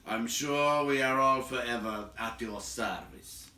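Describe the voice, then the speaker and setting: A man voicing a pompous, grand dwarf leader in a storybook, speaking slowly. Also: medium pitch, pushed and bright, slight background noise. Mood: grandly impressed. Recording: roomy echo, distant from the mic.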